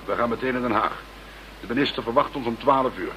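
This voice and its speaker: A man's voice: mid-range voice, fast, sharp tone, close to an everyday voice, low-quality recording. A man plays a genial Dutch chief inspector in a radio drama. He sounds hearty yet businesslike in a mid-range voice, with slight background noise. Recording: faint background noise, old radio play.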